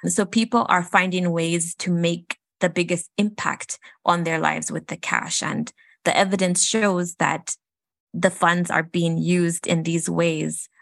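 Low-voiced woman from Malawi. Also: fairly even intonation, unhurried, vocal fry, no echo. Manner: earnest, precise, cites figures. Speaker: guest from an aid organisation. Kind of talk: interview answers.

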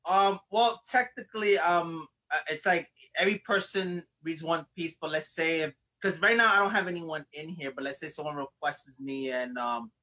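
A man who hosts a live stream, with a bright, forceful voice and a raised pitch. He rambles casually and fills his talk with ums and likes. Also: normal speed, hardly any pauses, low-quality recording. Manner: rambling, filler-heavy, friendly. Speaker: host of a live poetry stream.

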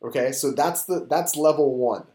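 A man in casual chat, retelling a show in an animated, rambling, excitable way. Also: mid-range voice, moderate pace. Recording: echoey room.

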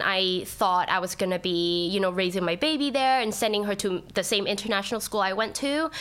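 A woman's voice: mid-range voice, fairly bright tone. Chatty Thai-raised woman guest. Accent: international school English. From Thailand. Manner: chatty, reflective, many fillers. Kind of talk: casual interview.

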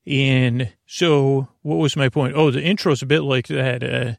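Gravelly, meandering male bedtime podcast host. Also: medium pitch, fairly even intonation, dry recording, clean studio sound. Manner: meandering, halting, self-interrupting, tangent-prone. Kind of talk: rambling bedtime podcast monologue.

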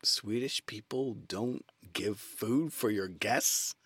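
A man's voice: fairly low pitch, takes it slowly, a bit bright, breathy edge, no echo. A man hosting a casual podcast chat, teasing his guest with jokes. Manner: joking, teasing. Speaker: podcast host.

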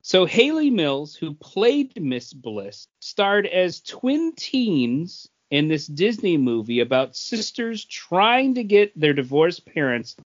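Higher-pitched man hosting trivia, unhurried. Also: sing-song, dull audio. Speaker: podcast quiz host. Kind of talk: reading trivia questions, casual banter.

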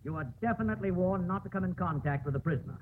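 An actor playing a stern, officious police sergeant in a 1950s radio drama. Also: male voice, high voice, rapid-fire, a little hiss or hum, lightly acted. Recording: muffled old radio broadcast with music.

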